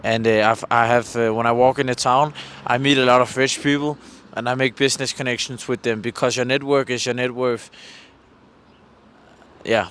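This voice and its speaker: A man's voice: mid-range voice, fairly even intonation, moderate pace, a bit bright. A man chatting casually as a vlogger, rambling a bit hesitantly and putting questions to passers-by outdoors. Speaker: vlogger, street interviewer. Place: outdoors by a road in a mountain valley. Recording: windy, noisy outdoor roadside.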